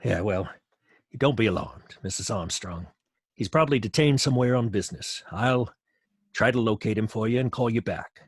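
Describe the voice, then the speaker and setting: An actor playing a bossy, irritable middle-aged police commissioner in an old-time radio crime drama, brusque and commanding. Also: male voice, on the low side, normal speed, husky, no room sound, studio quality. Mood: businesslike.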